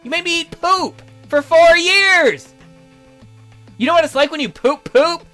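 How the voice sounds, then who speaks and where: A man's voice: very high-pitched, unhurried, bright, forceful tone, soft background music, voice pushed up, full character voice. A man voicing a bitter, sarcastic youth trapped in a child's body, reading visual novel dialogue with theatrical indignation. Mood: outraged, grudging. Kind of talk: visual novel character read-aloud.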